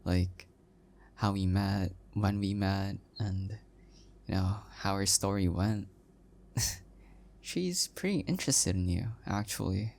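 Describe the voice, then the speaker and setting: Soft-spoken, hesitant, oblivious young husband played by a man. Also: deep voice, slow, vocal fry, long pauses, exaggerated voice. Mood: oblivious, fond. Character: adorkable, oblivious, self-deprecating young husband. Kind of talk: intimate audio roleplay, one-sided conversation.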